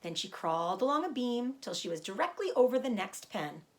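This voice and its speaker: A woman teacher reading a children's book aloud, gently stopping to explain words for young listeners. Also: on the high side, very animated, brisk.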